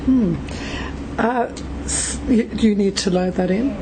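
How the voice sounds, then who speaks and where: A woman's voice: mid-range voice, slow pace. A woman chairing a conference session, introducing the next speaker with hesitant, enthusiastic remarks. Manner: hesitant, with many ums, enthusiastic. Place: conference room. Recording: noisy conference recording.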